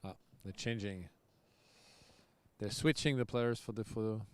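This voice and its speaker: A man's voice: on the low side, a little slow, a little mellow, clean tone, dry recording. A man, a tennis coach hosting casual live commentary, chatty and loose with easy laughs. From France. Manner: chatty, loose, laughs often, restarts sentences.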